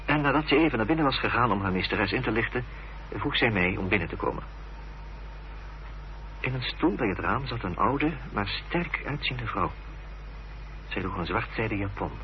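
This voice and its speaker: An actor playing a gentleman narrator recounting a mystery in measured, literary radio drama narration. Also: on the low side, expressive, close to an everyday voice. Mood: sober, measured. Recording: dull, noisy, humming old radio recording.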